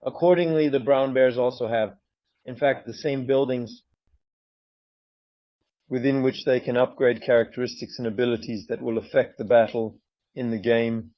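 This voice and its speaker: A man's voice: medium pitch, fairly even intonation, moderate pace, no room sound, dull audio. A man presenting a game's screens in a walkthrough, sometimes hesitant as he searches. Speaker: game presenter.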